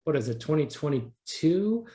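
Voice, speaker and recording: The sound is noticeably roomy, around a man with a fairly high speaking voice. He talks casually as an interview guest, rephrasing himself and working out his thoughts as he speaks. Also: very animated, moderate pace, dark tone. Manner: conversational, thinking aloud, rephrasing as he goes. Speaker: interviewed writer.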